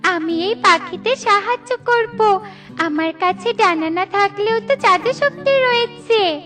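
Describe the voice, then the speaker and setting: Sweet little cartoon fairy girl, really tiny high voice, gentle n helpful. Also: animated, a little slow, heavily acted. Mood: caring, resolved. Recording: music behind the voice.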